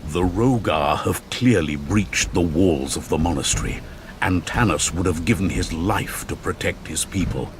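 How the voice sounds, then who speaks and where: A man playing a stern, dutiful wounded warrior in a video game, gruff and dry. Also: low voice, moderate pace, close to an everyday voice. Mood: stoic, dry. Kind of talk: video game cutscene acting. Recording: loud ambient noise over the voice.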